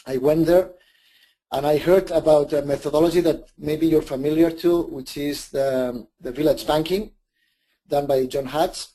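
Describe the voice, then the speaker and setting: A man's voice: on the high side, fairly even intonation, normal speed, warm tone. Hesitant Spanish-accented man presenting. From Spain. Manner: hesitant, many restarts and self-corrections. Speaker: webinar presenter. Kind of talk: live webinar presentation.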